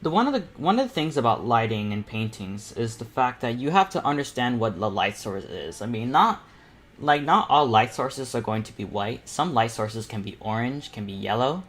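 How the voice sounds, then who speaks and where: A young man with a medium-pitched voice hosts an informal painting lesson. He talks off the cuff, circling back and repeating phrases as he works. His delivery is casual and encouraging, like a peer showing others the ropes. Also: sing-song, moderate pace, a bit bright. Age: teenager or young adult. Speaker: painting panel host and self-taught artist. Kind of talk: casual live tutorial commentary while painting.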